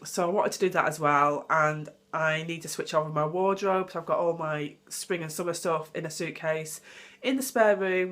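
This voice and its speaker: A young woman vlogging at home, chatty and rambling, sounding congested from a cold. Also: sits low. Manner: chatty, rambling, self-deprecating. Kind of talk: casual home vlog. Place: at home, indoors. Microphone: phone or camera mic.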